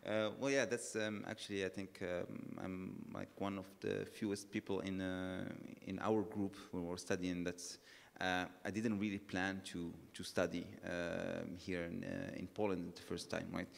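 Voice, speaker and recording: A man chats on a panel in a low, gravelly voice that stays relaxed and easygoing. He uses lots of fillers. A long hall echo follows each phrase. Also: a little slow. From Morocco. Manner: relaxed, joking, many fillers. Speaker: alumnus panelist. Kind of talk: panel discussion answer.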